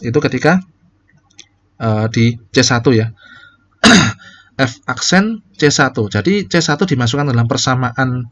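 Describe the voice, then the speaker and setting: A man's voice: mid-range voice, expressive, unhurried, long pauses, fairly bright tone. A man teaching calculus in a casual, step-by-step way, often correcting himself. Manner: casual, explains step by step, many fillers and self-corrections. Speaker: calculus lecturer. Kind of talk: recorded calculus lecture.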